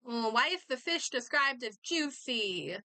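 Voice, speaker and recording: A woman's voice: fairly high pitch, lively intonation, unhurried, bright, forceful tone, no echo. A woman game streamer chatting casually as she plays, muttering and thinking aloud to herself. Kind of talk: casual livestream chat while playing a game.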